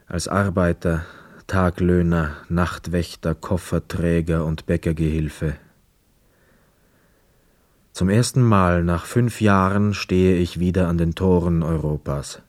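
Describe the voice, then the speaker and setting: An actor playing a reflective, weary homecoming soldier in a radio play, with measured, lyrical phrasing. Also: male voice, sits low, fairly even intonation, a little mellow, dry recording, clean studio sound. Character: weary young war returnee, reflective, dreamy wanderer. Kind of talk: literary radio play monologue and dialogue.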